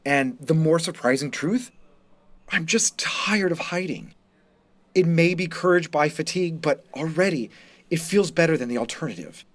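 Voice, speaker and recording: A man reading a first-person story as a wounded, resentful high school student, in scripted narration. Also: lively intonation, moderate pace. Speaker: reader of a short story.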